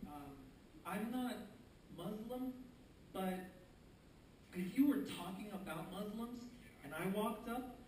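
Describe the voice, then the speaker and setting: A young man doing stand-up, soft-spoken and hesitant, with self-deprecating asides and frequent ums. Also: sits high, lively intonation, slow, long pauses. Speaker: stand-up comedian. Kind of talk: stand-up set. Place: theater stage. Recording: echoey theater, distant mic, crowd noise.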